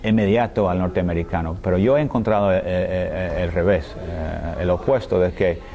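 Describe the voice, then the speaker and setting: A man, a scholar answering interview questions in a language that is not his one, speaking hesitantly with many fillers, pauses and restarts and often ending phrases with a questioning tag. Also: low voice, fairly even intonation, gravelly, warm tone, dull audio. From United States. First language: English. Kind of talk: thoughtful conversational interview answers.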